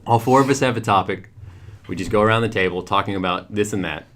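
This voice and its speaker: A young man with a Western Kentucky country accent chats on a podcast with a low, upbeat voice. He talks eagerly and hypes up his friends. A faint hiss sits under the recording. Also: fast talker, fairly bright tone. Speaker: podcast co-host. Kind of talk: casual podcast chat.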